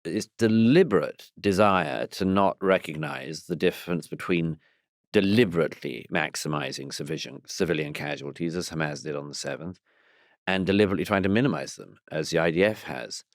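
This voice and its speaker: A very deep-voiced man makes his points with assertive confidence, pausing on fillers now and then. The recording is close and dry. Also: no echo. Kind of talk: interview clip.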